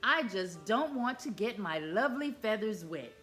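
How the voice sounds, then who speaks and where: A woman's voice: mid-range voice, expressive, moderate pace, fairly bright tone, soft background music, close to an everyday voice. A woman voicing a vain, fussy male duckling who is prissy about his feathers, in a read-aloud storybook. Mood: indignant. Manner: prissy, squeals in alarm.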